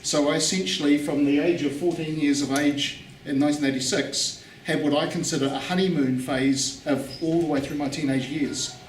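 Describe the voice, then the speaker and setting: A man's voice: fairly high pitch, normal speed, hardly any pauses. New man speaking in an echoey hall. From New Zealand. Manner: relaxed, repeats words for emphasis, chuckles. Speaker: guest speaker. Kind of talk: conference talk with interpreter. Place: conference hall. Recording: echoey hall, distant mic, faint noise.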